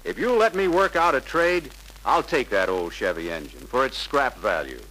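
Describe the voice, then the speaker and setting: A man's voice: mid-range voice, sing-song, moderate pace, pushed and bright. A man playing a polite, eager young truck salesman in a 1950s sales film, earnest and persuasive. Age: young adult. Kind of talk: 1950s sales pitch acting. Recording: noisy 1950s film soundtrack.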